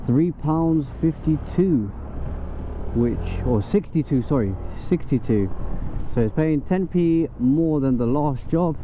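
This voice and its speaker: A London man talks to his followers while out on delivery runs, rambling and friendly, full of asides. His voice sits in a middling range and comes through a tinny, phone-like channel. Faint street noise hums underneath. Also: medium pitch. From England. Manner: chatty, lots of fillers and asides to viewers. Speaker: delivery rider vlogger. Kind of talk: casual vlog chat. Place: out on the street and in a car. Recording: tinny lo-fi audio with faint traffic.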